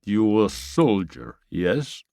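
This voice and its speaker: A man voicing a tough, proud Russian soldier who speaks slow, clipped, broken English in a Russian accent. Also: lowish voice, animated, creaky, a little mellow, dry recording, studio quality, full character voice. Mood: gruff.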